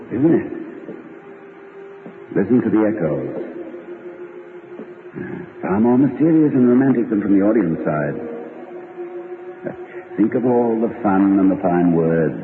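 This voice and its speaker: An actor playing a cultured, whimsical, romantic college head in an old radio comedy-drama, speaking in articulate, theatrical sentences. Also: male voice, low voice, measured pace, pauses often, background noise. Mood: romantic, playful. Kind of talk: 1940s-50s radio comedy-drama acting. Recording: old muffled radio recording, reverberant, music behind.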